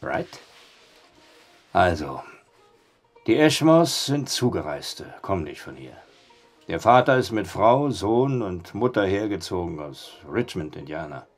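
An actor playing a reluctant, money-minded small-town storyteller in a radio drama, with a folksy manner. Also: male voice, low voice, sing-song, measured pace, faint music behind, heavily acted. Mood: bargaining.

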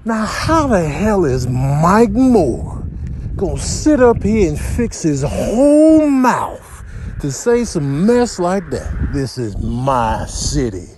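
A man's voice: high-pitched, sing-song, darkish tone, slight background noise. A man giving exasperated, incredulous comic commentary over video clips, drawing out his words for emphasis. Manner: incredulous, drawn-out emphatic delivery. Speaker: comedy voiceover commentator.

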